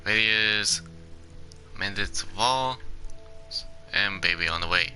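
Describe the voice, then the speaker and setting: A man's voice: lowish voice, slow pace, pushed and bright, faint music behind. A young man giving casual, rambling commentary while playing a game, leaning on "basically" and laughing often. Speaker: gaming YouTuber.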